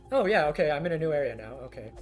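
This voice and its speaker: A young man streaming a game, chatting to viewers in a halting, rambling way full of fillers, his voice scratchy and worn down from talking for hours. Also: fairly high pitch, very animated, soft background music. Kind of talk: casual live-stream chat with viewers.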